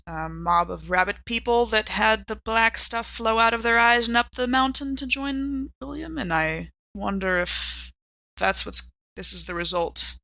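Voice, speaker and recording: Female tabletop player, bright forward voice, playful casual improv, dry close-miked sound. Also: medium pitch, gravelly, dry recording, rough recording. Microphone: close studio microphone.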